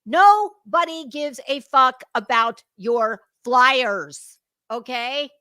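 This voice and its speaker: A woman's voice: high-pitched, drawn-out words, pushed and bright, dry recording. A woman giving casual reaction commentary as a livestream host, sarcastic, mocking and foul-mouthed. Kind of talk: casual reaction commentary over a played clip.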